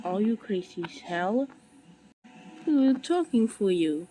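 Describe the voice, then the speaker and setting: A woman voicing an excitable character in an amateur animated disaster skit, with faint noise behind her. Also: medium pitch, measured pace, natural voice, poor audio. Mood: annoyed.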